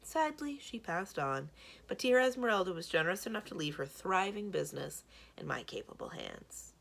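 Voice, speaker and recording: A woman's voice: fairly low pitch, sing-song, normal speed, gravelly, fairly bright tone, heavily acted. A woman voicing a sly, theatrical male potion seller with smug salesman patter in a children's storybook. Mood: mock solemn. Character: Blue, sly bald theatrical male potion-selling schemer. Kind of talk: children's book read-aloud.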